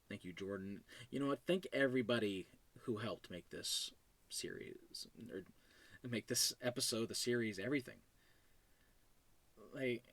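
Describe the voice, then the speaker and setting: A man hosting his own show, talking casually and effusively, emotional and overjoyed, stammering and trailing off as he goes. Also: lowish voice. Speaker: host and creator of an animated web series. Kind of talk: casual unscripted thank-you monologue.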